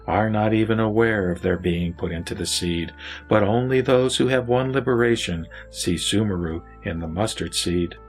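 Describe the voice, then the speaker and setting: A man voicing a wise male lay sage and patient teacher, speaking in a slow, measured, solemn way. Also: on the low side. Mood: teaching. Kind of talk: scripted audio drama dialogue.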